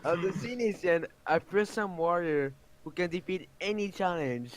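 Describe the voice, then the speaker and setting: A man voicing an earnest female unicorn in an amateur fan fiction reading, with a halting, read-out delivery. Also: high-pitched, crowd noise, close to an everyday voice. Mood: urgent, earnest. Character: earnest female unicorn pleading for a warrior's help.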